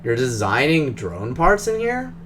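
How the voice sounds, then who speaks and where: A man's voice: mid-range voice, wildly swooping pitch, a little slow, fairly bright tone, a little hiss or hum. A man reading a game's dialogue aloud as the player character, in a conversational, questioning way. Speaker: game streamer.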